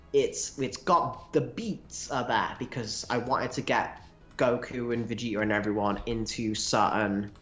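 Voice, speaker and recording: A man co-hosting a casual livestream, answering viewer questions with animated, laughing asides. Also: medium pitch, roomy sound.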